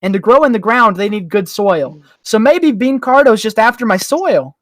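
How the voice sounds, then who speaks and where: A podcast host chatting casually and jokingly, rambling through slangy, animated explanations. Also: mid-range voice, normal speed, no echo, studio quality.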